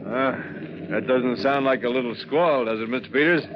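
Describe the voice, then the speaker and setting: A man's voice: mid-range voice, normal speed, background noise, natural voice. An actor playing an earnest, plain-spoken green young man going to sea in a 1940s radio drama. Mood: polite, uneasy. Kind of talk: 1940s radio drama acting, first-person narration and dialogue. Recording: muffled 1940s radio recording.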